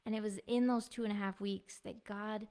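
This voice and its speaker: A woman in her thirties giving a heartfelt personal devotional testimony in an earnest, soft-spoken way. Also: medium pitch, fairly even intonation, normal speed. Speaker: devotional speaker and music minister. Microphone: clip-on mic.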